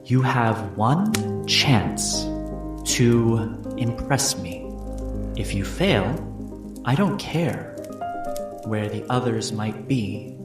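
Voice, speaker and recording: Man voicing an imperious, measured noble matriarch over music. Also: medium pitch, lively intonation, echoey room, recorded from a distance. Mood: cold, threatening. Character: proper, confident noble matriarch, coldly threatening.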